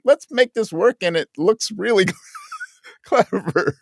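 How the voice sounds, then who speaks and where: A man's voice: high voice, lively intonation, takes it slowly, vocal fry, dry recording. Thoughtful man in a dry, close recording. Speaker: interview guest, audio drama writer. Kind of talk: conversational interview about writing.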